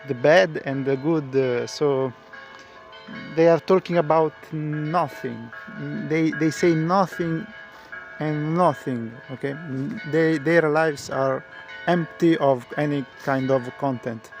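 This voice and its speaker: A man whose first language is Italian, commenting casually on a stream for a reaction video, halting and searching for his words. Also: on the high side, faint music behind.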